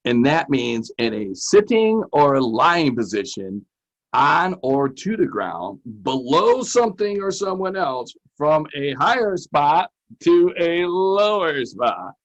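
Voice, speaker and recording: A man's voice: fairly high pitch, sing-song, takes it slowly, rough recording. A man presenting a lesson as a teacher-host, chatty, folksy and playful, often repeating himself. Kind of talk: casual, chatty educational presenting.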